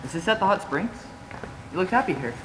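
A man's voice: high voice, very animated, fast. A man playing a frank young friend who reminisces in a stage play. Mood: matter-of-fact. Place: theater stage, indoors. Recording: distant, reverberant theater, faint noise.

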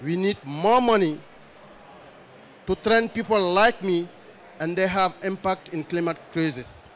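A man from Senegal addresses a conference panel from the audience. His English is simple and halting. He speaks very slowly, in a somewhat high voice, into a handheld mic in a large pavilion. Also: fairly bright tone, a little hiss or hum. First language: French. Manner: slow, searching for words in simple English. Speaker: audience member asking a question. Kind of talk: halting spontaneous remarks.

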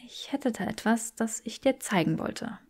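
A woman's voice: on the high side, animated, normal speed, darkish tone. A woman voicing a bookish, flustered young woman in an audiobook, murmuring and easily indignant. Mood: murmuring, awkward.

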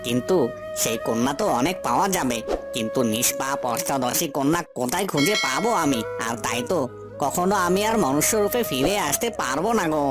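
A man's voice: mid-range voice, lively intonation, brisk, over music, lightly acted. A man voicing a hopeless prince cursed into a bird in a cartoon fairy tale, lamenting plaintively. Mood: despairing.

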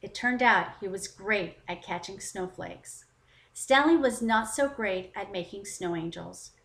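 A woman hosting a children's story time, narrating in an expressive, deliberate read-aloud style. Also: mid-range voice, gravelly. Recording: roomy, echoing voice recording.

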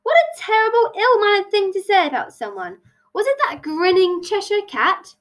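Lady doing a grumpy bossy hare for a kids story, high and jumpy, super huffy. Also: very animated, normal speed, a bit bright, distant mic. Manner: abrupt, demanding, huffy. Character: rude, bossy, indignant male hare, children's storybook.

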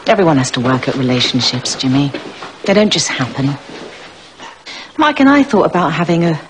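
A middle-aged woman acting a troubled married teacher in a television drama, speaking with an English accent, stammering, breathy and hesitant. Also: medium pitch, a little hiss or hum, close to an everyday voice. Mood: earnest. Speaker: teacher in a soap opera.

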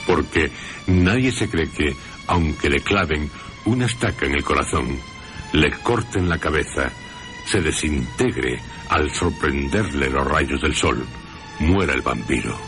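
An extremely deep male voice hosts and narrates a radio drama. He speaks solemnly, leaving deliberate pauses. Music plays clearly behind him. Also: lively intonation.

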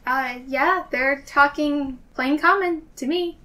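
A woman's voice: high-pitched, slow pace, pushed and bright, far from the mic. A woman chatting casually as a player in a tabletop role-playing game. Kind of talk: casual chat, playing in character.

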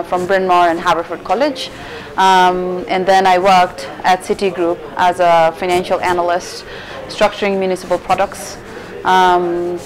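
A woman's voice: on the low side, low-quality recording. A woman, explaining things informally as an interviewee. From Nepal. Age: 30s. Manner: conversational, explanatory. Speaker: interviewee, volunteer organizer. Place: indoor room, seated.

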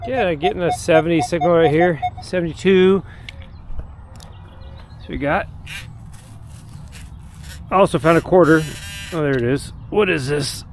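A man's voice: a little high, a little slow, pauses often, slight background noise. A middle-aged man casually narrating his metal detecting outdoors in parks, thinking aloud with many fillers, recorded on an action camera. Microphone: action camera held or chest-mounted.

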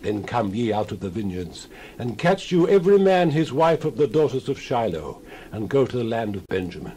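A man's voice: mid-range voice, very animated, normal speed, warm tone, slight background noise, natural voice. A man playing a solemn elder of ancient Israel in a dramatized Bible reading, declaiming in archaic phrasing. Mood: instructing.

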